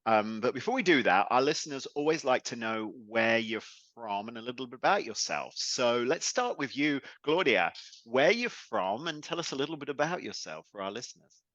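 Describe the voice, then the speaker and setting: A man hosting a podcast interview in a relaxed, chatty way, with plenty of fillers. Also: mid-range voice, moderate pace, no echo, dull audio. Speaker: podcast host. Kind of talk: casual interview chat.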